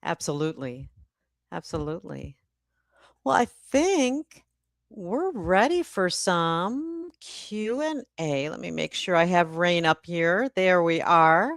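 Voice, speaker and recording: A woman's voice: on the low side, very animated, slow pace, no room sound. Female live-stream host, casual and a bit hesitant, very dry sound. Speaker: host of live book event.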